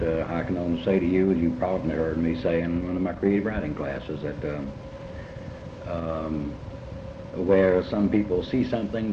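Male writer, middle-aged, Appalachian Kentucky accent, deep and halting; interview on a roomy, noisy recording. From eastern Kentucky, United States. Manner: halting, reflective, full of pauses. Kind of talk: reminiscing in an oral interview. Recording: muffled, noisy, roomy amateur tape.

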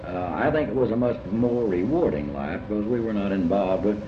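An older man speaking reflectively in an interview about his life, halting and often repeating words as he rephrases. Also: on the low side, expressive. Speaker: interviewee recalling early life. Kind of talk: reflective oral history interview. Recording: muffled, noisy, echoey room recording.

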